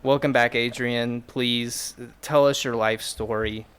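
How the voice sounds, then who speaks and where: A man's voice: mid-range voice, steady tone, slow, a bit bright, clean tone. A man hosting a podcast in casual, joking chat, teasing and rambling as he goes. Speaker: podcast host.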